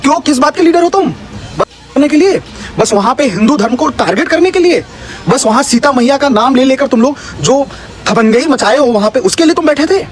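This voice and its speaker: A young man with a very high voice rants sarcastically about politics. He fires off one mocking rhetorical question after another. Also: expressive, a little fast, soft background music. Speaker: political commentator.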